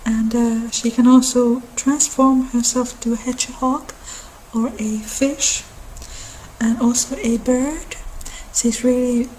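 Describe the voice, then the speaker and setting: Female ASMR host, fairly high and even in pitch, halting delivery, some background noise. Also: rough recording. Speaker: video channel host. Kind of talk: ASMR-style informal mythology talk.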